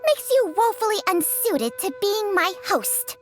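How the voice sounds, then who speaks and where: A woman playing a proud, sharp-tongued ancient spirit creature in a video game, lecturing with haughty sarcasm. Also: very high-pitched, big pitch swings, unhurried, faint music behind, full character voice. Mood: proud, indignant.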